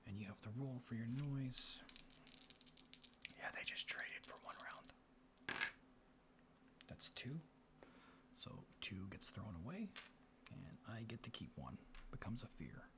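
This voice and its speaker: A man hosting a board game in ASMR style, mixing soft speech and whispers as he murmurs an unhurried play-by-play. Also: on the low side, very animated. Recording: clear background noise. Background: room ambience, board game handling sounds.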